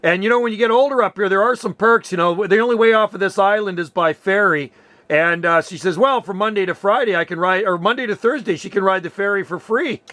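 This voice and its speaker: High-voiced man in his sixties. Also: a little fast, bright, forceful tone, dry recording. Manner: friendly, chatty, uses slangy "man". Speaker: amateur radio operator. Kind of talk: casual two-way radio chat. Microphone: desk radio microphone, close.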